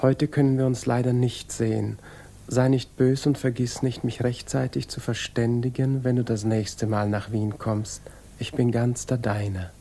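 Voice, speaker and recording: An actor playing a tender, smooth violinist lover, gently evasive, in a 1950s German radio drama. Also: male voice, mid-range voice, flat delivery, a little slow, slight background noise, natural voice. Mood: polite, evasive.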